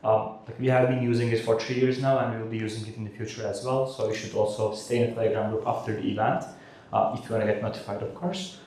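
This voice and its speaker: A man's voice: on the low side, flat delivery, fast, a little mellow, a little hiss or hum. A young man in his twenties with a Turkish accent giving an earnest welcome speech, with frequent uhs. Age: 20s. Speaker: conference co-host. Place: conference hall. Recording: very echoey hall.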